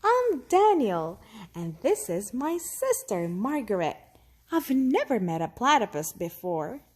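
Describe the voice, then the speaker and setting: A woman's voice: on the high side, sing-song, measured pace, breathy edge, full character voice. A woman voicing a curious, eager little boy tiger in a children's storybook, with a wondering, childlike manner. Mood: eager, friendly.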